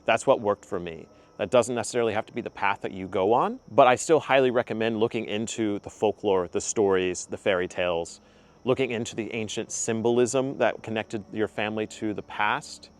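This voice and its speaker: A man's voice: lowish voice, brisk. A young man hosting his own channel, giving heartfelt spiritual advice in a casual, earnest way, with frequent ums and uhs. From United States. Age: young adult. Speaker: channel host.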